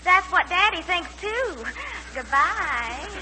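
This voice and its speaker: A woman's voice: very high voice, lively intonation, slow pace, heavy background noise, heavily acted. A woman playing a colonel's sweet, naive young daughter in 1940s radio comedy. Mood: blithely innocent. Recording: vintage 1940s radio recording, reverberant hall.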